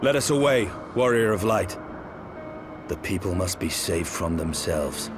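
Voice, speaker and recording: An actor playing a grim, vengeful, war-weary knight in a fantasy video game, speaking in solemn, archaic phrases. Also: male voice, low-pitched, expressive, unhurried. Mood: resolute. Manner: solemn, archaic formal speech. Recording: music behind the voice. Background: loud game score.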